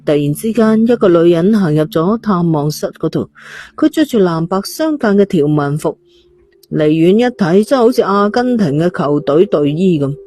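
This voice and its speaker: A woman's voice: fairly low pitch, animated, moderate pace, mellow. A woman reading a scripted story as an audiobook narrator.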